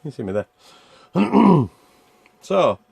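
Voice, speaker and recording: Man rambling through gameplay, gravelly creaky voice. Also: a little high, sing-song, very slow, no echo, dull audio. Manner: rambling, thinking aloud. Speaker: video game reviewer. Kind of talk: casual gameplay commentary.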